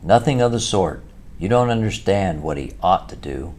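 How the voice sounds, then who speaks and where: A man's voice: deep voice, lively intonation, normal speed, a little mellow. A man voicing a hungry, grumpy male mole in a storybook reading. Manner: grumbling, talks with mouth full.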